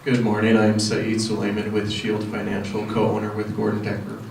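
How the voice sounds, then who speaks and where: A man who grew up in Saudi Arabia, a financial adviser speaking on a panel in an even, measured way. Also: fairly low pitch, creaky, a little hiss or hum. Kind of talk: panel introduction. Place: meeting room. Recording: very echoey room from far off.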